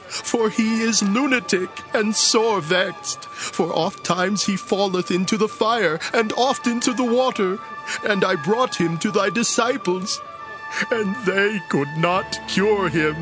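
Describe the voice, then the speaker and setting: A desperate dad begging, really high voice, slow and beseeching, music behind, bible drama. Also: male voice, rough recording. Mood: desperate, pleading. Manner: drawn-out, beseeching. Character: desperate father pleading for his afflicted son. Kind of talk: dramatized scripture acting.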